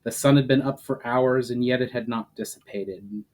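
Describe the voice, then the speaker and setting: A man's voice: medium pitch, fairly even intonation, normal speed, a little mellow. A man who hosts a story session as an author, presenting and reading his own fantasy writing aloud. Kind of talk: informal introduction, then dramatic story narration.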